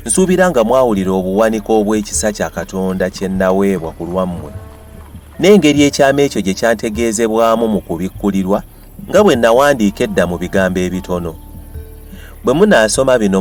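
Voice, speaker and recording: A man's voice: on the low side, darkish tone. A man with a Ugandan accent giving a dramatized reading of scripture as a Bible reader. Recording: music behind the voice. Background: music.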